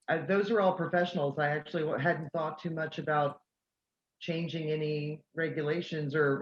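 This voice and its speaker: A woman's voice: deep voice, dull audio. Chatty convention organizer woman, roomy sound. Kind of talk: casual video call chat.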